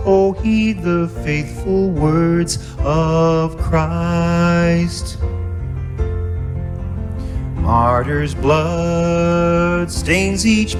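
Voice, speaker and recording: A woman singing a devotional hymn as a worship singer, slowly and with musical accompaniment. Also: fairly low pitch.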